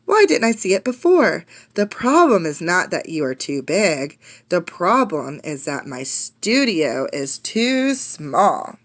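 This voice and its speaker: A woman reader puts on an elegant ballet mistress from a children's storybook. She speaks in genteel, theatrical phrases, kindly and exclamatory, with a very animated melody. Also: fairly low pitch, slow, hardly any pauses, full character voice. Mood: realizing. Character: elegant older lady ballet teacher, kindly but exclamatory.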